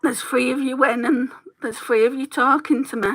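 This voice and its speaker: Chatty British woman with a bright voice. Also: sits high, steady tone, normal speed, poor audio. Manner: chatty, rambling, greets viewers by name. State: throat getting sore. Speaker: beauty vlogger, livestream host. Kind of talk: casual chat while doing makeup live.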